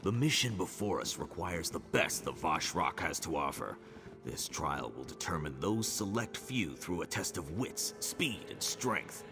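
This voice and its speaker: A man playing a stern, devout orc elder in a fantasy video game, with a gravelly voice and a grave, measured, cryptic manner. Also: sing-song, exaggerated voice. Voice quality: very deep, gravelly. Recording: background music under the voice.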